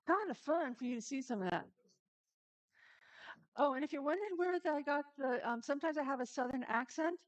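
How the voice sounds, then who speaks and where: A woman with a slightly high voice hosts a relaxed live cooking stream, talking straight to her viewers as she works. She chats freely and laughs easily. The sound is dry and close, with no room echo.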